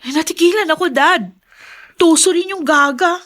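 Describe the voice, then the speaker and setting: A woman's voice: very high-pitched, normal speed, fairly bright tone. A woman acting an indignant, spoiled young daughter in a radio drama, with a dramatic, complaining delivery. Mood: spiteful, frustrated.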